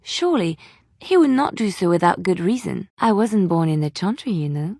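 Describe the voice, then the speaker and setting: An actress playing a devout, gentle young woman rogue in a fantasy video game, earnest and polite. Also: on the low side, lively intonation, normal speed, vocal fry, no room sound, studio quality. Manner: earnest, polite, softly pleading.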